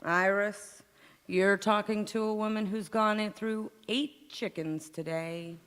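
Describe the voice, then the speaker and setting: A woman's voice: medium pitch, fairly even intonation, takes it slowly, a bit bright, smooth. A woman playing a frazzled, hapless housewife in old-time radio sitcom style, with dry comebacks and flustered wailing. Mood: frazzled deadpan. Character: frazzled, hapless housewife overwhelmed by a cooking disaster. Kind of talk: golden-age radio sitcom acting on stage. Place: large indoor hall, live stage performance. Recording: echoey hall, community theatre stage.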